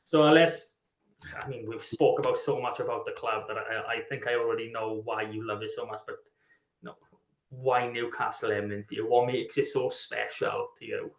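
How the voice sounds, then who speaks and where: A man's voice: mid-range voice, fairly even intonation, normal speed, creaky, echoey room, low-quality recording. A man hosting a rugby podcast, asking questions in a friendly, conversational way. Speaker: podcast host. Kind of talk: casual podcast interview.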